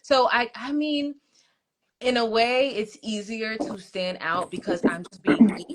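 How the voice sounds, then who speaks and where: A young American woman, a soca artist guest, chatting casually with many ums and quick laughs. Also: a little high, fairly even intonation, heavy background noise. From United States. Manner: chatty, laughs easily, thinks aloud with ums. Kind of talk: casual interview chat.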